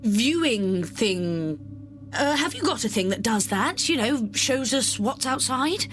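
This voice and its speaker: An actress playing a flustered young Edwardian adventuress with a British accent, hesitant and backtracking in an audio drama clip. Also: female voice, fairly high pitch, expressive, slow, a little hiss or hum. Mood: stalling. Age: young adult. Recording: drama clip with music underneath.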